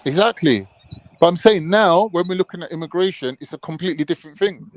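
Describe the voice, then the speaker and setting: A man's voice: a little high, quick pace, rough recording. Argumentative man debating. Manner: argumentative, interrupts and repeats questions. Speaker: panel guest. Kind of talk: heated debate on a live call-in panel.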